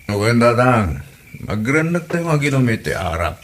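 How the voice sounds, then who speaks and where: A man's voice: mid-range voice, very animated, normal speed, a bit bright. A man playing a jovial, coaxing Filipino son-in-law in a comedy audio drama, with an Ilocano accent. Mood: cheerful, persuasive. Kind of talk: hobby comedy radio drama acting. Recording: noticeable background noise.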